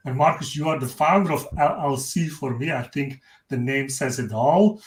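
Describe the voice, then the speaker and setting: A man's voice: medium pitch, moderate pace. A man from Belgium hosting a live webinar, introducing his guest in an upbeat, welcoming way. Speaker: webinar host. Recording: roomy echo.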